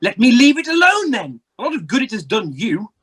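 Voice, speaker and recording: A man playing a grumpy, miserly old uncle in an amateur radio play, raspy, curt and dismissive. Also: very high-pitched, big pitch swings, fairly quick, a bit bright, full character voice. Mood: threatening.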